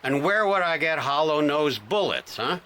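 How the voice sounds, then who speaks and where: An actor playing a cagey, gruff police detective in a radio drama, folksy and blustering. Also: male voice, on the high side, sing-song, moderate pace, sharp tone.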